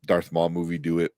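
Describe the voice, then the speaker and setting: A man's voice: low-pitched, a little mellow, no echo, studio quality. A man hosting a casual live pop-culture chat, rambling enthusiastically and often searching for names. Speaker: host of a pop-culture review show.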